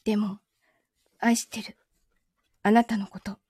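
A woman playing a possessive, coolly menacing wronged girlfriend in an audio drama, questioning calmly with soft taunts. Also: mid-range voice, measured pace, slightly rough, no echo, studio quality. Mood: possessive, probing. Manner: calm interrogating, softly taunting, sometimes whispering. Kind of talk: Japanese audio drama acting.

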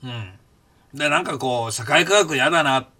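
A man's voice: mid-range voice, moderate pace, sharp tone. An older Japanese man hosting a reflective monologue, musing aloud in a rambling way with many fillers and restarts. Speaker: podcast host recounting his past.

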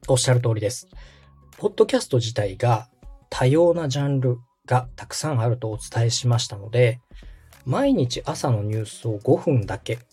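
A man in his thirties from Osaka hosts a podcast and explains things politely, pausing with fillers. His voice is husky and mellow, and his pitch moves a lot. Faint music plays behind him. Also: animated. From Osaka, Japan. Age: 30s. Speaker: podcast host and radio director. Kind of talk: explaining casual chat.

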